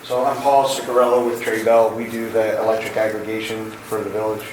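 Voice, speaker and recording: A man, an energy consultant, presenting at a public council meeting, his voice hoarse and going, with halting ums and uhs. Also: fairly low pitch, little pitch movement. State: losing his voice. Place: council chamber. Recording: echoey chamber, far from mic, noisy.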